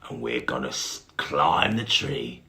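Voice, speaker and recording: A man doing a bossy gravelly beetle bully. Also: a bass voice, lively intonation, unhurried, sharp tone, exaggerated voice. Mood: bossy scheming. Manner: domineering, snappish.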